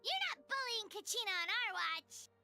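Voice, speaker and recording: A woman's voice: very high voice, sing-song, moderate pace, full character voice. A woman voicing a tiny, childlike, indignant fairy companion in an anime-style video game. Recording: loud game music behind.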